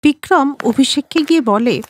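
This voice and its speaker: A woman's voice: sits high, expressive, moderate pace. A woman narrating a scripted cartoon story.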